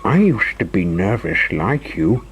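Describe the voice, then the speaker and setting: Man playing a kindly, gentle storybook tramp. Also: low-pitched, big pitch swings, a little slow, slight background noise, full character voice. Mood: gentle, reassuring. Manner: gentle, soothing.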